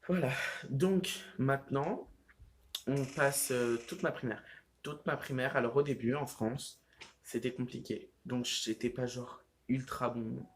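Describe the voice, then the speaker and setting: A teenage boy chats casually into the camera at home. He rambles, stacking fillers and restarts as he goes. His voice sits at a middle pitch. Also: a little slow, slight background noise. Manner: rambling, heavy use of fillers like "genre" and "euh". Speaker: vlogger. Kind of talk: casual vlog chat.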